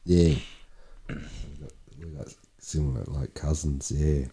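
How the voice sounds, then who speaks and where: Australian male host, deep husky voice, relaxed casual interviewer. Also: slow pace. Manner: laid-back, friendly slang. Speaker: podcast host.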